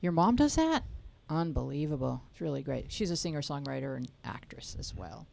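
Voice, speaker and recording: A woman's voice: sits low, sing-song, a little fast, vocal fry, a little mellow, slight background noise. A woman hosting an interview, encouraging the young guests and prompting their questions. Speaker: podcast host.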